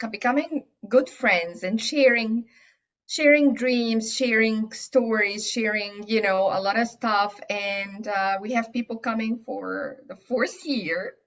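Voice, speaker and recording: A woman whose first language is Arabic, from Egypt, answering interview questions in a casual, hesitant way with many fillers and restarts. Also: on the high side. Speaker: guest aromatherapy teacher. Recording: dull lo-fi audio.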